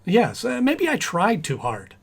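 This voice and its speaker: A man's voice: high voice, wildly swooping pitch, measured pace, vocal fry, breathy edge, heavily acted. A man acting a flustered, eager young husband and employee in an old-time radio sitcom, stammering and earnest. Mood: nervous, apologetic.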